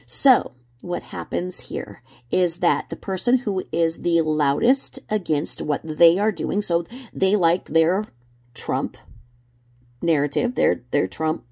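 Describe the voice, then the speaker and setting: Low creaky-voiced woman livestreamer. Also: warm tone. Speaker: livestream host commenting on video clips. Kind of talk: casual livestream commentary.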